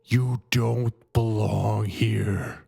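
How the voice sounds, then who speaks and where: A man voicing an angry, menacing ghost of the dead, screaming in drawn-out cries in a horror storybook. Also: on the low side, fairly even intonation, vocal fry, dark tone, husky, heavily acted. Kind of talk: audio storybook horror acting.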